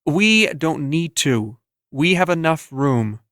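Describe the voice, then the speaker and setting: A man's voice: fairly high pitch, animated, slow pace, a bit bright, dry recording, studio quality, voice pushed up. Gossipy suburban mother, read by a male narrator, matter-of-fact delivery, audiobook fiction.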